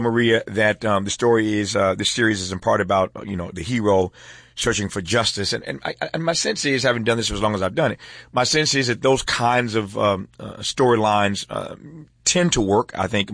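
Male interview host. Lower-pitched, deliberate, halting delivery, dry studio sound. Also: a bit bright, no echo. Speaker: talk show host. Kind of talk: conversational radio interview.

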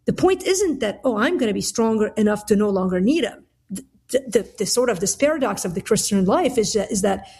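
A woman's voice: medium pitch, lively intonation, a little fast, creaky, darkish tone, slightly rough. A middle-aged woman from Lebanon answering conversationally as an interview guest, explaining things candidly. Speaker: interview guest, author and Bible teacher.

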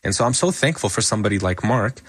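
An American man speaks as a physician being interviewed. His pitch is slightly low, and the recording is close and dry. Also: animated, quick pace, fairly bright tone, breathy edge, no room sound. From United States. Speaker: interview guest, emergency physician and advocate. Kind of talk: spoken interview answers.

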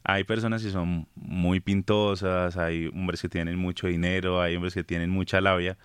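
Low-voiced Colombian man in his thirties. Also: normal speed, smooth, no echo. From Villavicencio, Colombia. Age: 30s. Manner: laughs often, relaxed and friendly. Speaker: guest singer. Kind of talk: casual radio interview chat. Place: radio studio.

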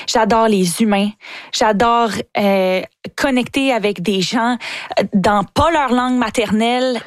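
A young woman with a Québécois French accent talks about her work in a relaxed, enthusiastic way. She stumbles and restarts words as she rushes to get her thoughts out, at a middle pitch. The sound is close and dry, with no room echo. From Quebec. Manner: enthusiastic, chatty, many restarts and stammers. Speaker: guest, singer and TV host. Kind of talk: casual interview answers.

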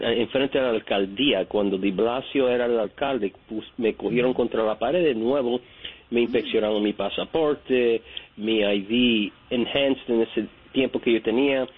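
A man from New York calling in to a radio show, telling his story haltingly with many fillers and restarts. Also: mid-range voice, husky. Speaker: caller. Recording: tinny phone line.

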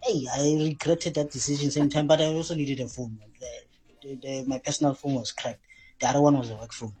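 A man calls in to a radio show and rambles, filling the gaps with uhs and slang. His voice is husky, with faint music in the background. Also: mid-range voice, steady tone, fairly quick, warm tone. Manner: rambling, full of uh and restarts, slangy. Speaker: caller.

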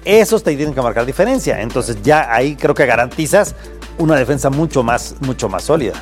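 Brief: male co-host, mid pitch, casual conversational delivery. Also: lively intonation, brisk, soft background music. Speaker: sports show co-host. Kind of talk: studio sports talk.

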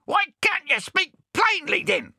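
Shrill, loud, grumpy bird tramp for a storybook. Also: male voice, sing-song, a little slow, vocal fry, no echo, studio quality, full character voice. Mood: cranky, scornful. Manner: shouting, scornful. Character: bad-tempered male parrot swagman.

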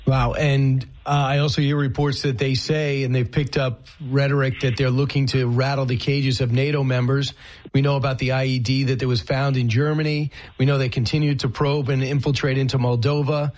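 Radio host guy, mid pitch, chatty, tossing questions at guests. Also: little pitch movement, moderate pace, a little mellow, poor audio. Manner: conversational, leading questions. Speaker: radio talk show host. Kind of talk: talk radio interview.

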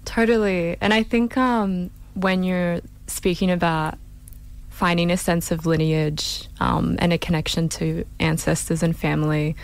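Slow, low-voiced woman radio host. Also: fairly even intonation. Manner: measured and earnest, with some fillers. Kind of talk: slow, earnest radio hosting.

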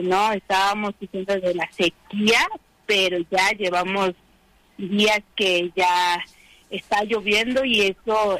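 A woman's voice: medium pitch, fairly bright tone, dry recording, low-quality recording. A woman from San Andrés Chicahuaxtla, Oaxaca, whose first language is Triqui, reporting by radio link in a casual, chatty way with many fillers. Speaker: radio correspondent.

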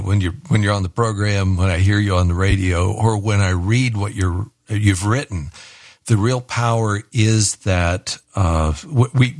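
A man's voice: fairly low pitch, darkish tone, dry recording, studio quality. A man hosting a radio talk show, talking candidly with his guest and reading a promo. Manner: candid and searching, then smooth promo read.

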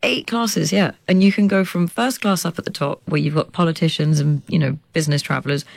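A woman in her forties, a journalist being interviewed, talking animatedly with laughs and lively swings in pitch. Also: fairly quick, vocal fry, no echo. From United Kingdom. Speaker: journalist and author guest. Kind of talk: conversational interview.

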